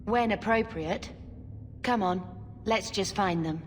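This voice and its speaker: A woman's voice: mid-range voice, takes it slowly, lightly acted. Woman voicing a tart mage in echoing hall. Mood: tart, impatient. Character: cool, tart female mage companion, smugly unruffled. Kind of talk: video game voice acting. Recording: echoing hall, music behind.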